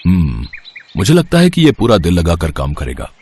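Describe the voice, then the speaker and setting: A man voicing a wealthy, trusting factory owner, measured and reassuring, in a moral story. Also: low voice, wildly swooping pitch, a little mellow, breathy edge, heavily acted. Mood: kindly, reassuring. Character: kindly, trusting wealthy male factory owner, calm boss. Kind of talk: Hindi moral story voice acting.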